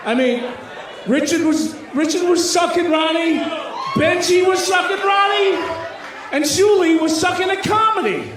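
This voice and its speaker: Man, brash stand-up comic, very high strained pitch, shouting, noisy crowd behind. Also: animated, a little slow. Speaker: stand-up comedian. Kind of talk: crude insult stand-up comedy to a live audience. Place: on stage in a live music hall. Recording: echoey noisy club, far off, crowd.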